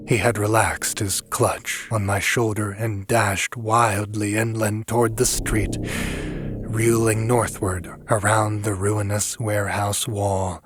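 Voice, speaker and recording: A man narrating a horror audiobook in a scripted, dramatic literary style. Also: lowish voice.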